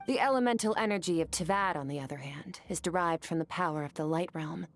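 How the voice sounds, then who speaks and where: A woman's voice: lowish voice, fast talker, soft background music, natural voice. An actress voicing a cool, aloof warrior woman in a video game, composed and matter-of-fact. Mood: detached, explanatory.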